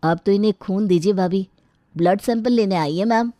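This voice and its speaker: A woman's voice: medium pitch, moderate pace, dark tone, no echo, studio quality, natural voice. A woman voicing a teasing, outspoken young brother-in-law, informal and pointed.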